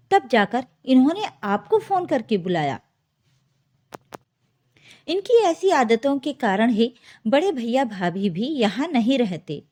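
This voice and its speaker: A woman's voice: sits high, a little mellow, full character voice. A woman voicing a distressed young married daughter pleading with her parents in a story. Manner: aggrieved, pleading.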